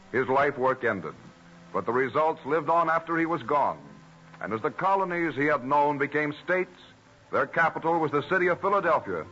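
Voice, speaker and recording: A man's voice: a little high, pushed and bright. A man reading scripted narration in a formal, declamatory old-time radio style. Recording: muffled old radio recording. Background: music.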